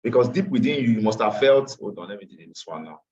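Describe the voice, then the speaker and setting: A man's voice: fairly low pitch, expressive, fast talker, darkish tone. A tired man teaching a live online trading class, repeating phrases and slipping into Pidgin asides. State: tired after a long day. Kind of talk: live online class walking through charts. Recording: distant, dull lo-fi call audio.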